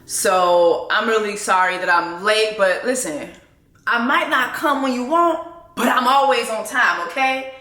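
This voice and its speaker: A woman's voice: on the high side, a little slow, pushed and bright, roomy sound. A young adult woman from Canada, a native Haitian Creole speaker, chatting casually and loosely to her viewers as a vlogger and TV co-host. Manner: chatty, loose, self-deprecating, rambling asides. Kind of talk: casual chat to camera.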